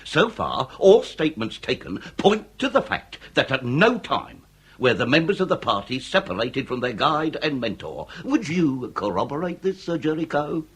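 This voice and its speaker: A Victorian police inspector with a slightly husky voice. His speech is florid and ceremonious, swinging widely in pitch with dry irony, in the style of comic period radio drama. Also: very animated, moderate pace, a bit bright, heavily acted. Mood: suave probing. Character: wry, courteous Victorian police inspector, male.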